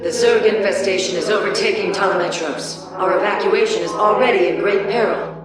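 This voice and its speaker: An actress playing a regal, resolute alien matriarch in a video game, speaking gravely and formally. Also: female voice, mid-range voice, fairly quick, lightly acted. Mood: urgent. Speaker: alien leader. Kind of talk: dramatic video game voice acting. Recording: very echoey, distant, loud music behind.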